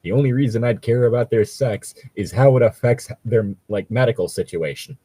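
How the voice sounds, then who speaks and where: A man's voice: mid-range voice, fairly quick, warm tone, breathy edge, no echo. A man chatting casually as a co-host, joking and ranting sarcastically with plenty of swearing. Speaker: co-host reading and mocking fan fiction.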